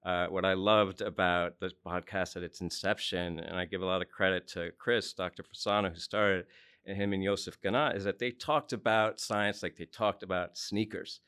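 A man with a very deep, smooth, resonant voice talks loosely and with enthusiasm, his thoughts tumbling out. He sounds relaxed and informal. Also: moderate pace. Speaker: podcast co-host. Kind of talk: casual panel discussion.

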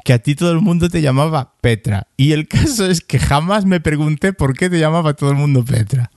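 A man's voice: fairly high pitch, animated, fairly quick, darkish tone, no echo, studio quality. Man hosting a chatty interview. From Galicia, Spain. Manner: friendly, teasing, laughs often. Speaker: podcast host interviewer. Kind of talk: casual conversational interview.

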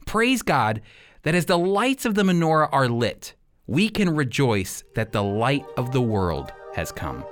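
A man's voice: medium pitch, big pitch swings, unhurried, soft background music. An American man teaching the Bible as a radio host, speaking earnestly and emphatically in a conversational preaching style. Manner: earnest, emphatic, rhetorical questions.

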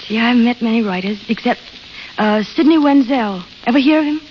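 A woman plays a restless young American roadside waitress with a fairly high voice. She is frank and dreamy at once, acted in classic radio drama style on a muffled old recording. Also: a little fast, a little hiss or hum, lightly acted. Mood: frank, wry. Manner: plain-spoken, frank. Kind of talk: 1930s-40s radio drama acting.

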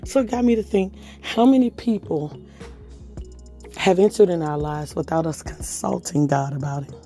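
Hoarse, low-voiced woman podcast host. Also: warm tone. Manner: conversational, reads scripture aloud, chatty fillers. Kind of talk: casual devotional podcast talk.

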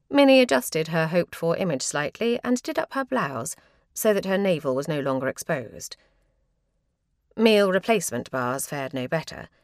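A woman narrating a comic short story in a wry, arch, expressive manner. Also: fairly low pitch, no room sound, clean studio sound. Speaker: story reader.

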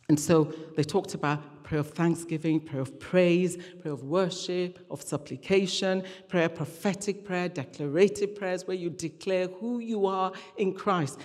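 A woman's voice: fairly low pitch, warm tone. A woman guest preacher giving a conversational, storytelling sermon, earnest and fond of repeating phrases and voicing dialogue. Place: large hall or church, preaching to congregation. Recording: reverberant hall sound.

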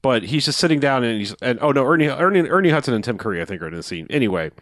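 A man's voice: mid-range voice, big pitch swings, rapid-fire, fairly bright tone, dry recording, studio quality. A man hosting a casual movie podcast, rambling with lots of fillers and swearing.

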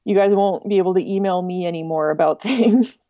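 A woman talks as a guest in a casual interview. Her voice is mellow and relaxed, mid-pitched, with an informal, wry way of explaining things. The sound is close and dry but a little dull and lo-fi. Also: a little fast, no echo. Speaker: interview guest, director of an online music archive.

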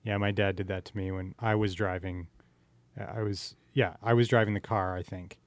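A man's voice: lowish voice, level tone, normal speed, no room sound. A man hosting a casual podcast chat, informal and candid, asking questions and swearing freely. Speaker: podcast host.

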